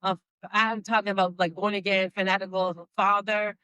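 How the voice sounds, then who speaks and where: A woman with a slight Spanish accent, Spanish being her first language, giving an emphatic inspirational speech and slowing down for key phrases. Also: medium pitch, level tone, sharp tone, clean tone, no echo, poor audio. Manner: emphatic, slows down for key phrases, laughs between points. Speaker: motivational speaker.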